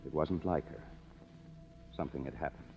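An actor playing a cynical, self-reproaching young screenwriter, wry and hard-boiled, in a 1950s radio drama. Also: male voice, extremely low pitch, normal speed. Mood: brooding, wry. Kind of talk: 1950s radio drama, first-person narration and dialogue. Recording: muffled old radio broadcast with music.

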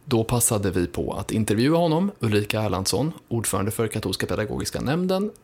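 A man's voice: lowish voice, very animated, fast talker, darkish tone, husky. A man hosting a podcast who reads a prepared introduction, with occasional hesitations. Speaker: podcast host.

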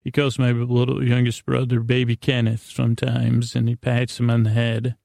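A man hosting a bedtime podcast, rambling haltingly in a creaky, soothing voice and often trailing off mid-thought. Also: medium pitch, completely flat delivery, mellow, dry recording, studio quality. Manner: soothing, lulling delivery. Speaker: podcast host.

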